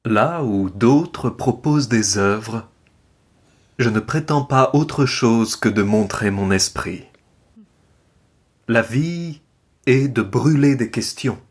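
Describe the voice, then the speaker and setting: Man voicing a solemn French poet, slow and uncompromising. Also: mid-range voice, lively intonation, breathy edge. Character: uncompromising avant-garde French poet reading his manifesto. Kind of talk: dramatic literary recitation.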